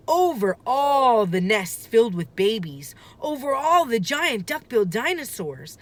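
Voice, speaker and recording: Slow, animated woman reading a story. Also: medium pitch. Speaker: storybook reader. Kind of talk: expressive children's book read-aloud.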